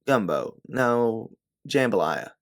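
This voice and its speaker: Guy reading as sharp friendly woman adventurer in an audiobook, low creaky voice. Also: very animated, slow pace, heavily acted. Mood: wry, reassuring. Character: woman adventurer, quick-witted, companionable leader.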